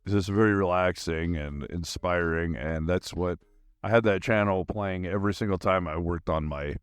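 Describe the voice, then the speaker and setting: A man's voice: low-pitched, moderate pace, smooth, no echo. A middle-aged man with an American accent, hosting a podcast in a casual, rambling, slangy monologue. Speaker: podcast host.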